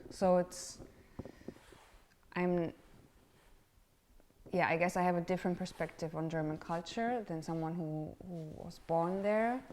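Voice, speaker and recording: Hesitant woman, low slow voice. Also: steady tone, a little mellow. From Romania. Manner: hesitant, searching for words with many fillers. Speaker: interviewee, artist in residence. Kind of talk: casual interview answers.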